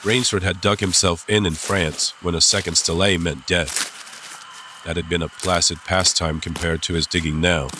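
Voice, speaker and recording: Male narrator for an adventure audiobook: low voice, suspenseful delivery, clear ambient sound effects behind. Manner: suspenseful, deliberate phrasing. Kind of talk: scripted dramatic audiobook narration. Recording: clear background ambience noise.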